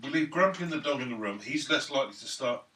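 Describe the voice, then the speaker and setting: A man's voice: medium pitch, expressive, fast, sharp tone. A man bantering casually and jokily as a player in a tabletop role-playing game. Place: room around a gaming table. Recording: roomy, distant mic, faint noise.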